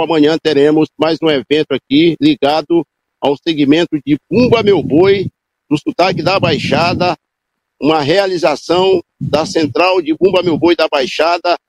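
Brief: male field reporter, slightly high voice, dry close sound, improvised live delivery. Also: a little mellow, no room sound, low-quality recording. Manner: loose, improvised delivery with restarts and self-corrections.

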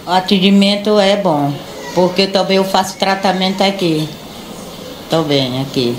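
A woman's voice: lowish voice, measured pace. Mature woman in echoey room. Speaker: interviewee, grandmother. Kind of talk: plain spontaneous interview. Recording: echoey room with faint noise.

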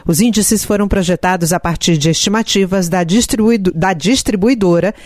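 A man reading the news as anchor in a steady, formal announcing manner. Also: high voice, moderate pace, dry recording, studio quality.

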